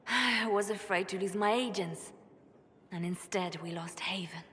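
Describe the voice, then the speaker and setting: A woman plays a steely, guilt-ridden spymaster in a fantasy game. Her medium-pitched voice is grave and deliberate. It rings in a long reverb. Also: fairly bright tone, slight background noise, natural voice. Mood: sorrowful, guilty. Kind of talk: video game character acting. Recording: long echoing reverb, faint ambience.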